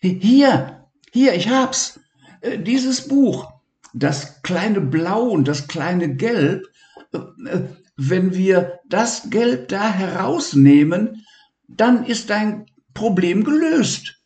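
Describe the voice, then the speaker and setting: A man's voice: sits high, expressive, takes it slowly, darkish tone, pitched above the performer's own voice, heavily acted. A man voicing an eager, caring young boy who is a helpful friend, speaking earnestly in a children's story. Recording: echoey room.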